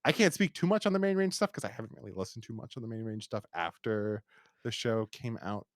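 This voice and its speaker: A man hosting a podcast in a casual review chat, rambling and halting with repeated false starts. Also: lowish voice, lively intonation, gravelly, dry recording, clean studio sound. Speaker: podcast host.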